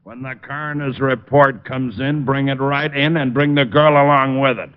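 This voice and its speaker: A man's voice: medium pitch, steady tone, normal speed, smooth, natural voice. An actor playing a bossy, exasperated police lieutenant in a 1940s radio detective drama, curt and sarcastic as he gives orders. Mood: curt, commanding. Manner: curt, barking orders, dry. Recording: muffled old radio recording.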